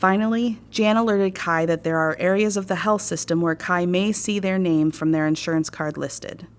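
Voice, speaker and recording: An American woman narrates a staff training course. Her voice is a little low, and she reads in a measured, formal, instructive manner.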